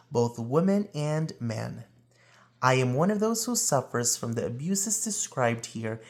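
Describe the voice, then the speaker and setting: Expressive man reading aloud, very animated pitch. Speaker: read-aloud presenter. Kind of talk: children's picture book read-aloud.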